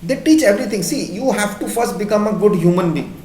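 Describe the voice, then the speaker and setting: Indian teacher in an echoey room. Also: male voice, sits high, lively intonation, a little mellow. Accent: Indian English. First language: Hindi. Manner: repeats points and checks in with the class with quick questions. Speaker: school teacher. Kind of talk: classroom lecture.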